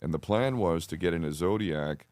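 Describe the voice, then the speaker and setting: A man speaking as an interview guest, earnest and deliberate, often breaking off and restarting his sentences. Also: low-pitched, lively intonation, darkish tone, no echo. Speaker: podcast guest. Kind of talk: conversational interview, storytelling.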